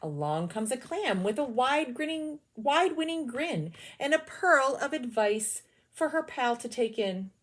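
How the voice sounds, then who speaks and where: A woman's voice: high voice, very animated, unhurried. A woman teacher reading a picture book aloud, adding chatty comments between the pages.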